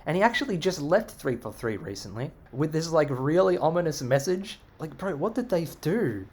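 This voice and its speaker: Animated Australian man ranting casually. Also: on the high side, normal speed, slightly rough. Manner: animated, expressive, sarcastic and profane. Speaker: YouTuber answering viewer comments. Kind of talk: casual comment-reaction commentary.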